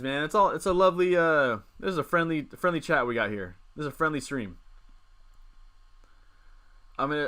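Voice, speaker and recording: A man's voice: mid-range voice, pushed and bright, clear, resonant tone. A young man in his twenties hosting a casual live stream, rambling as he reads and answers the chat. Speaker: livestream host.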